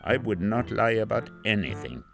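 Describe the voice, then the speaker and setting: A man from Norway with a Norwegian accent, reading an old advertisement aloud in an earnest, deliberate way. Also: on the low side, big pitch swings, vocal fry, music in the background.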